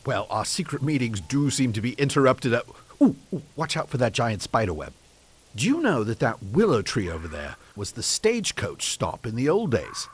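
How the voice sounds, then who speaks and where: A man performs as an earnest former detective in an audio drama. His delivery swings widely in pitch as he courteously unspools his story in old-fashioned phrases, haunted and obsessive. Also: sing-song, moderate pace, slightly rough. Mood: earnest, confiding.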